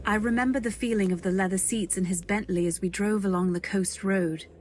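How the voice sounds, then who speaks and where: A woman's voice: mid-range voice, steady tone, a little fast, a little mellow, a little hiss or hum, lightly acted. A woman playing a modest, earnest young companion, narrating and speaking politely and wistfully in a radio drama. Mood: nostalgic. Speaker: narrator and heroine. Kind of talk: first-person narration in old-time radio drama.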